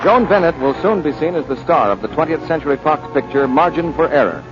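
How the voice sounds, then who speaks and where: A man's voice: fairly high pitch, expressive, fast talker. A man reading scripted credits as a 1940s radio announcer. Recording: muffled 1940s radio, music behind.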